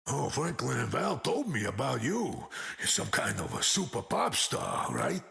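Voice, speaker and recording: A gruff rock-skinned superhero bruiser from a video game, deeply gravelly and forceful. He talks in streetwise New slang, swinging up and down as he wisecracks, with a soft heart underneath. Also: very animated, measured pace, exaggerated voice. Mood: teasing banter. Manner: streetwise, slangy old-fashioned tough-guy talk. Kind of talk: video game hero banter.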